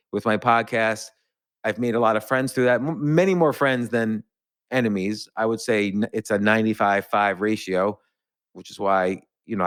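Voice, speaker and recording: Middle-aged guy hosting a podcast, smooth resonant voice, thinks out loud and trails off a lot. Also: medium pitch. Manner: musing, halting, self-reflective. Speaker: podcast host. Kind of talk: casual podcast chat.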